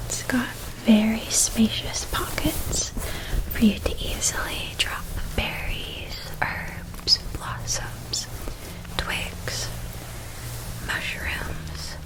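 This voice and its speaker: A woman playing a gentle, attentive witch-school shopkeeper in an ASMR roleplay, speaking in a soft, breathy, soothing whisper. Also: fairly high pitch, extremely slow, low-quality recording.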